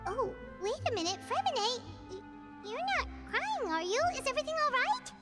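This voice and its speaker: A woman voicing a small, chirpy, nosy fairy companion in a video game, squeaky and childlike. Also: sing-song, normal speed, a bit bright, soft background music, heavily acted. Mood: concerned. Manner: exclamatory, eager.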